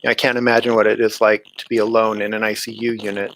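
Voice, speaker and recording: A man's voice: lowish voice, level tone, quick pace, fairly bright tone, a little hiss or hum, rough recording. A man speaking as a guest author in a casual interview, earnest and repeating himself for emphasis.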